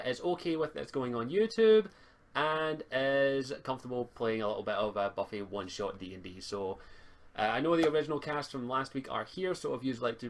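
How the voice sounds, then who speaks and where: Halting man running a tabletop game. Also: mid-range voice. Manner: halting, repeats words while thinking. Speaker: game master and streamer. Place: home online voice call stream.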